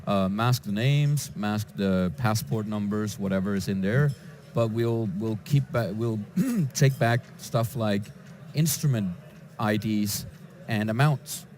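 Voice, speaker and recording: A man from Denmark, a database developer answering interview questions in a conference lounge, with frequent halting restarts. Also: on the low side, darkish tone, a little hiss or hum. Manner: hesitant, with many restarts and fillers.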